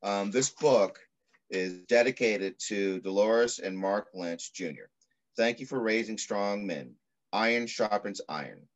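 A middle-aged man reading aloud in the style of a children's picture book, with measured, deliberate phrasing. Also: on the low side. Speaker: pediatrician reading a story aloud. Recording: muffled video call audio.

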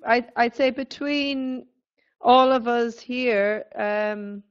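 A woman chatting in an online meeting as a project facilitator, hesitant and unhurried. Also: a little high. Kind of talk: meeting chat. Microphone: video call. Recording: dull lo-fi call audio.

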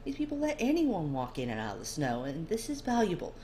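A woman's voice: deep voice, wildly swooping pitch, a little fast, dark tone. A woman voicing a tired, caring female colleague in a storybook reading. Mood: tired, friendly. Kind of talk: storybook character dialogue. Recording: faint background noise.

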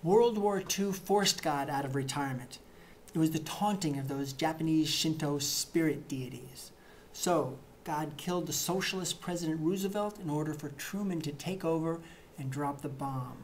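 A middle-aged man reading satirical excerpts to camera in a deadpan, mock-earnest way, in a plain indoor room. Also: a little high, moderate pace, slight background noise. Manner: mock-earnest, punchy, with gestures. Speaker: reader of satirical book excerpts.

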